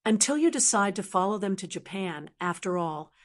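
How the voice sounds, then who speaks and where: A woman's voice: medium pitch, sing-song, normal speed, close to an everyday voice. A woman voicing a male teacher in an audiobook, pressing with earnest questions. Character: male language teacher, earnest, probing, protective.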